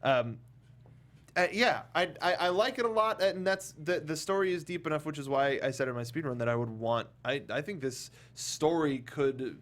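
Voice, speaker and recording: A man hosting a casual film discussion, talking in a halting way and often restarting or repeating his phrases. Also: fairly high pitch, lively intonation, fairly bright tone. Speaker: talk show host.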